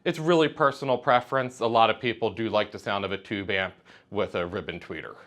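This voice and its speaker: A man's voice: fairly low pitch, fairly quick, a bit bright. A man giving a precise, matter-of-fact technical explanation as a product representative in conversation. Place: hi-fi trade show exhibit.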